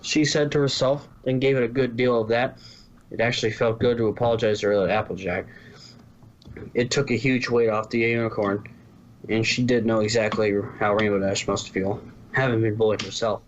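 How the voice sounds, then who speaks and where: A man's voice: fairly low pitch, fairly even intonation, moderate pace. A man reading a scripted fan fiction story as its narrator. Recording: dull lo-fi, faint background noise.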